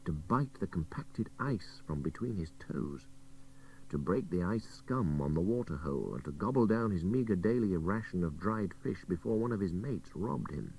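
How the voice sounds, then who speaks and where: A man reading a literary adventure story aloud in measured, expressive, dramatic narration. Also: low-pitched, vocal fry. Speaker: story reader. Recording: old cassette radio recording, distant, faint hiss.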